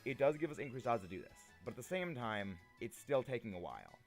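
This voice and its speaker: Young male streamer, slightly gravelly, lively pitch, chatty and playful with chat. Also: fast talker, soft background music. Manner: playful, chatty, repeats himself, reads chat aloud. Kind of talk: casual live-stream chat with viewers.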